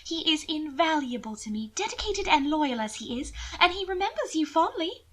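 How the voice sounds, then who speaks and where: A woman's voice: high-pitched, sing-song, normal speed, fairly bright tone, voice pushed up. A woman voicing a doting, manipulative upper-class young lady for an audiobook, coaxing and full of affected endearments. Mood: assured, persuasive. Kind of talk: audiobook character acting.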